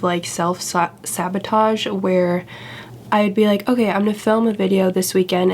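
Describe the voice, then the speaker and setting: A woman's voice: medium pitch, slight background noise. A young woman vlogger sounding congested from a cold, talking in a chatty, candid, self-deprecating way. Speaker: YouTuber. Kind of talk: casual vlog chat.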